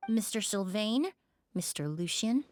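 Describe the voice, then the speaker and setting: An actress playing a poised young perfumer in a video game, speaking in a measured, precise and formal way. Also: female voice, mid-range voice, expressive, a little mellow, a little hiss or hum, close to an everyday voice. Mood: composed, concerned. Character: poised, analytical young woman perfumer turned investigator. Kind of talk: English game dub acting.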